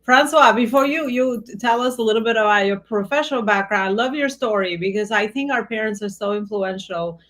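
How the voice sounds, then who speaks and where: A woman in a mid-range pitch hosts the interview. She talks through her thoughts in a relaxed, rambling way and leans on easy fillers. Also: brisk, hardly any pauses. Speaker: host. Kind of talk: casual interview chat.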